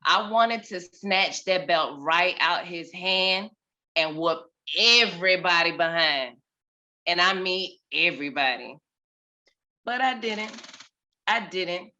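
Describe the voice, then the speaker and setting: A woman in her thirties, a mother, telling a personal story on a video call with expressive, dramatic delivery and big swings in loudness. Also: on the low side, slow, highs cut off.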